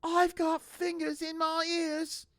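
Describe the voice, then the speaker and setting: A man's voice: very high-pitched, monotone, takes it slowly, fairly bright tone, no echo, studio quality, voice pushed up, full character voice. A man putting on a timid, reedy young novice. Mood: flustered. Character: naive young male novice, earnest and timid.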